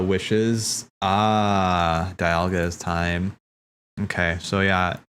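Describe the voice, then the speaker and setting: A man's voice: sits low, a bit bright, slight background noise. A man hosting a livestream, talking in a casual, slangy, rambling way as he thinks aloud. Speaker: livestream host. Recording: background music.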